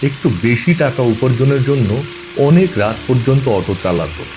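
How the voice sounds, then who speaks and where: A man's voice: mid-range voice, lively intonation, moderate pace. Male ghost story narrator over music.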